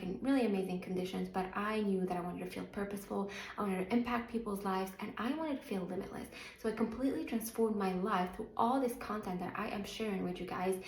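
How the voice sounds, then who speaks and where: A woman from Morocco with a Moroccan accent, speaking as a motivational coach in an earnest, emphatic self-help talk to her channel's viewers. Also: medium pitch. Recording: roomy echo, faint music underneath.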